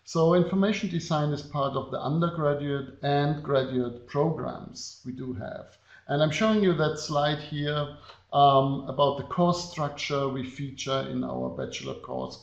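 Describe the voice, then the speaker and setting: Slow German-accented man, gravelly voice. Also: on the high side. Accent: German (Bavarian). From Germany, Bavaria. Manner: slow, unhurried with frequent filler pauses. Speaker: design professor. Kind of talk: webinar lecture presenting slides. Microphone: computer mic on a video call. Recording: echoey, dull webinar audio.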